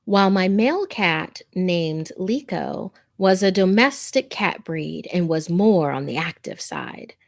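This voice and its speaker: A woman hosts an informal scary story show in a slightly low voice. She is chatty and relaxed in wording, moving between addressing viewers and reading what they sent in. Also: measured pace, creaky, few pauses. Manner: chatty, informal, easily amused. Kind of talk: casual livestream chat and reading listener messages and stories aloud.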